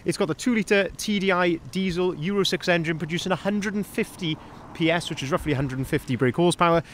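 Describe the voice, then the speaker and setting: A man's voice: high voice, fast talker, fairly bright tone, a little hiss or hum. A young man, a sales manager, giving a detailed walkaround of a van for sale outdoors on a cold lot, recorded on a handheld camera. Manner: upbeat, detailed, pointing things out as he walks. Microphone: handheld camera, first-person view.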